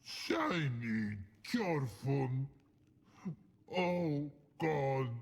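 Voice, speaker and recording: An actor playing a big, dim-witted but eager troll in a fantasy video game, gravelly and speaking in broken, childlike simple phrases. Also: male voice, mid-range voice, very animated, extremely slow, exaggerated voice. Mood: wistful.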